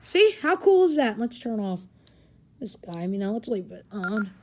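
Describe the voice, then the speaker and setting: A woman's voice: medium pitch, sing-song, moderate pace, slight background noise. A woman giving a casual hands-on gadget demonstration in a rambling, informal way, recorded in a living room. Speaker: gadget reviewer. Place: carpeted living room indoors. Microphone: handheld camera or phone, speaker off screen.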